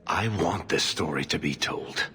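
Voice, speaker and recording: A man playing a wry, loyal storyteller under interrogation in a video game, with a husky voice and a sardonic, emphatic manner. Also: deep voice, unhurried, sharp tone, long reverb tail, full character voice. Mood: sardonic, defensive.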